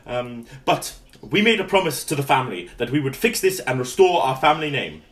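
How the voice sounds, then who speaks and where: An actor playing a pompous, inept posh British brother in a radio comedy, grandiose and formal but hesitant. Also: male voice, medium pitch, sing-song, moderate pace, natural voice. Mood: pompous, rueful. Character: pompous, inept posh brother running a failing antique shop.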